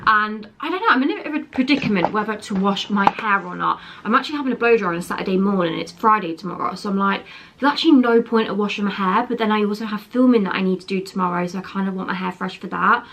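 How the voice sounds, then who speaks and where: A woman's voice: medium pitch, fast, fairly bright tone. Chatty young woman, a bit wheezy. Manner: chatty, rambling, self-correcting. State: wheezy from a chest infection. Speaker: vlogger. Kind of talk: casual chatty vlog. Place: home, indoors.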